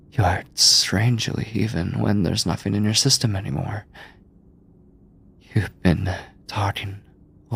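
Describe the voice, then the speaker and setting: A man playing an obsessive, controlling mad scientist in an ASMR horror roleplay, speaking deliberately with a breathy, clinical yet doting manner, often near a whisper. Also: on the low side, fairly bright tone, full character voice. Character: obsessive yandere mad scientist, soft-spoken, controlling male captor.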